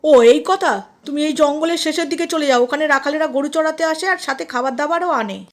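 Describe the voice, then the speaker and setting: A woman's voice: high-pitched, a little fast, pitched above the performer's own voice, natural voice. A woman voicing a breezy, mischievous male bear who coaxes with sly advice in a children's fable. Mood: coaxing, sly.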